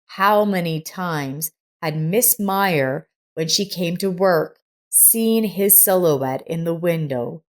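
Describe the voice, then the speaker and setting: A woman reading a scripted story in a measured storytelling manner. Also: fairly low pitch. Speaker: story narrator. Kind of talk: scripted audiobook-style narration.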